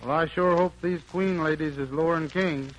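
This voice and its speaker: An actor playing a cheerful, naive country wanderer with a guileless folksy drawl in a 1940s radio play. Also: male voice, a little high, fairly even intonation, normal speed, natural voice. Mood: guileless, indignant. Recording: noisy old 1940s radio recording.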